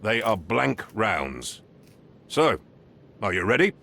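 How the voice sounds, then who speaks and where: An actor playing a stern, grudging police training sergeant in a video game, gruff and condescending, with a gravelly voice. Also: male voice, fairly low pitch, very animated, slow, bright, forceful tone, heavily acted. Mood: stern instruction.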